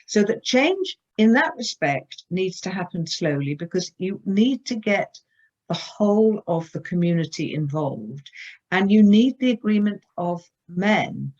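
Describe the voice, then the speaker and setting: A woman's voice: fairly low pitch, big pitch swings, a little slow, a little mellow, highs cut off. A woman speaking as an author in a virtual panel discussion, earnest and hesitant, with many fillers.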